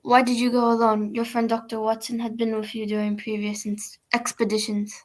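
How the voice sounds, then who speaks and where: A woman plays an official conducting an inquiry in a radio drama. Her voice is slightly high and very even in pitch. She questions formally, with a controlled, probing manner. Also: fairly quick, natural voice. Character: formal, probing French female prefect questioning a witness.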